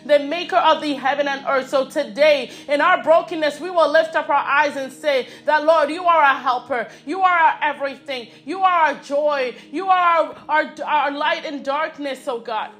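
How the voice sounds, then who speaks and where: A woman's voice: high-pitched, normal speed, hardly any pauses, fairly bright tone. A woman leading a fervent spoken prayer, repeating her petitions and calling out "oh God" between phrases. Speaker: prayer leader.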